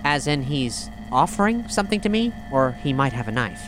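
A woman's voice: rumbling low, big pitch swings, brisk, background noise. A woman playing a tabletop role-playing game, speaking partly in character for an audio drama. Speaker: player.

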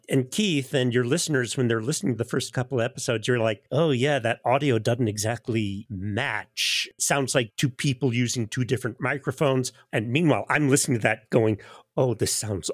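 A man's voice: mid-range voice, normal speed, no echo, clean studio sound. A man speaking as a sound editor in a conversational, anecdotal interview, acting out his own remarks. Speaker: audio drama technical director and sound editor.

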